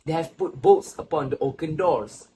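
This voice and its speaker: A sorrowful old grandfather in a stage drama, acted by a man. His voice sits a little high and moves slowly and solemnly through reflective, literary lines. There is faint noise behind him. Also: warm tone, lightly acted. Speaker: student actor. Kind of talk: literary stage play acting.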